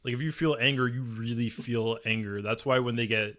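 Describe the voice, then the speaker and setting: A man's voice: mid-range voice, steady tone, brisk, a bit bright. A man co-hosting a podcast in casual, joking chat with friends. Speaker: podcast co-host.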